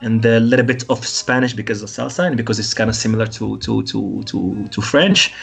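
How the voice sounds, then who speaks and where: Gravelly man, laughing easily. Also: fairly low pitch, fairly even intonation. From Morocco. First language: Arabic. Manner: laughs often, halting, repeats words. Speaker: interview guest, salsa dancer. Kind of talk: casual interview answers. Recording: faint music behind.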